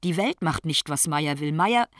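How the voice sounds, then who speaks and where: An actor playing a detached narrator in a radio drama, speaking in terse, clinical, emphatic statements. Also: male voice, on the high side, expressive, moderate pace, close to an everyday voice. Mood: clinical, insistent. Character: detached clinical male narrator reporting a man's decline.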